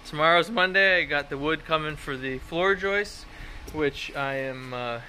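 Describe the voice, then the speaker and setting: A man's voice: fairly high pitch, unhurried, pushed and bright, a little hiss or hum. A man in his thirties, talking casually and rambling a bit with fillers and self-deprecating asides as he films his house build outdoors on a phone at arm's length. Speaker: home builder vlogger. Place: outdoor construction site in woods. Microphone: phone held at arm's length.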